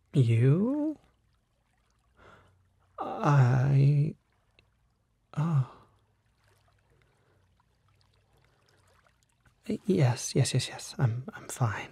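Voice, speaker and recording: Male actor as smooth predatory kelpie, very husky and breathy, slow, coaxing, halting, genteel, ASMR roleplay. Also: mid-range voice, warm tone, no echo, heavily acted. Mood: flustered. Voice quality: breathy, husky, half-whispered. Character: unsettling smooth-talking male kelpie, charming, needy, secretly predatory.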